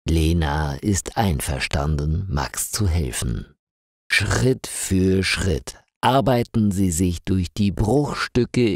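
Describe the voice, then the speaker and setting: Slow, deep-voiced male storyteller. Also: a little mellow, no room sound, clean studio sound. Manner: slow, clearly articulated reading with rising and falling emphasis. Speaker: narrator. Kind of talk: scripted story narration for language learners.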